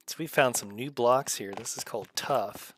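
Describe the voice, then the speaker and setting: A woman's voice: extremely low pitch, moderate pace, fairly bright tone, slight background noise. A woman hosting a kids' gaming video, giving casual, encouraging and exclamatory commentary as they play. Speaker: gaming video host playing alongside a child. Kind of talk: casual gameplay commentary for kids.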